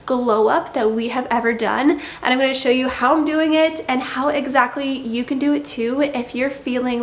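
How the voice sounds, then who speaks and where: Young woman, bubbly chatty vlogger, a bit nasal, roomy echo. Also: high-pitched. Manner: bubbly, chatty, drawn-out emphasis. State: slightly nasal from congestion. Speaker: lifestyle vlogger. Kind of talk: chatty vlog talk to camera.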